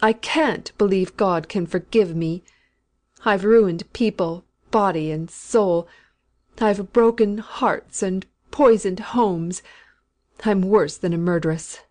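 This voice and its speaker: A woman's voice: mid-range voice, darkish tone, close to an everyday voice. A woman playing a dying old sinner, rustic and desperate. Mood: despairing. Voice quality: rustic dialect, anguished pleading. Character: dying old woman, sinful, terrified, imperious.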